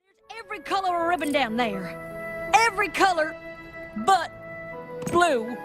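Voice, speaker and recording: A downcast cartoon pony girl, speaking in a subdued, confessing way. Her voice is high, with music playing under it. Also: big pitch swings, measured pace, heavily acted. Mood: dejected.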